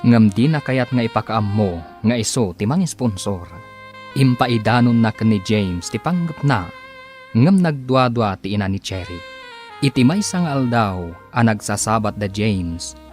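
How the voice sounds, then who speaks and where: A man with a northern Philippine accent narrating and announcing a radio drama in a scripted style. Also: fairly low pitch. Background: music.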